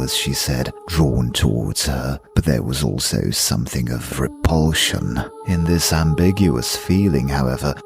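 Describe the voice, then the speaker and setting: A man narrating a dark gothic audiobook in a gravelly, husky voice, with a solemn, theatrical delivery. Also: extremely low pitch.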